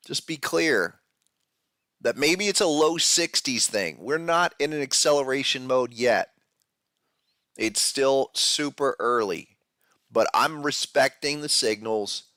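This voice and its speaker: A man hosting a live podcast about trading charts, talking in a casual, loose way with a head cold that deepens his voice. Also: pushed and bright, no room sound, clean studio sound. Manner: casual, loose, with fillers and restarts. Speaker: podcast host. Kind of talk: live-stream market analysis chat.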